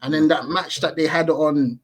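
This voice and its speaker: A man in his early thirties talks casually as a guest on a podcast over a video call. His voice sits a little high, and he muses, hedges and corrects himself mid-thought. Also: steady tone, moderate pace. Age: 30s. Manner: thoughtful, hedging, self-correcting.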